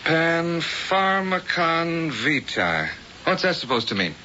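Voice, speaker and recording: A man's voice: a little high, sing-song, slow, sharp tone, slight background noise, exaggerated voice. An actor playing a young male newspaper reporter in a 1970s radio drama, breezy and a little hesitant. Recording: dull old radio broadcast.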